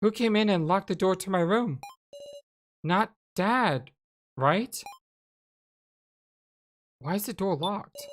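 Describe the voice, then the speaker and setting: A woman's voice: mid-range voice, lively intonation, takes it slowly, pauses often, soft background music, voice pushed up. A woman voicing a frightened, anxious teenage schoolgirl in a horror game, hesitant and emotive. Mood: uneasy.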